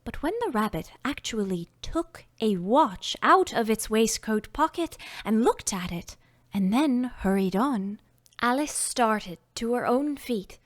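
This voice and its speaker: A woman narrating a children's storybook at an unhurried pace, her pitch on the high side and moving a lot. She reads expressively and often sinks into a soft whisper for effect. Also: expressive. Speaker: audiobook narrator.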